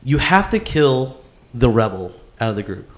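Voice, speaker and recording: A man hosting a podcast in a casual chat between friends, rambling and swearing freely, quick to laugh. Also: medium pitch, very animated. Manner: rambling, profane, laughing easily. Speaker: podcast host.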